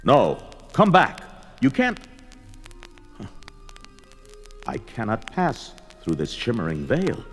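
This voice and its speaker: An actor playing a fierce, brooding barbarian warrior in theatrical, declamatory 1970s audio drama style, talking aloud to himself. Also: male voice, mid-range voice, huge pitch swings, a little slow, breathy edge, faint music behind, exaggerated voice. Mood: urgent, awed.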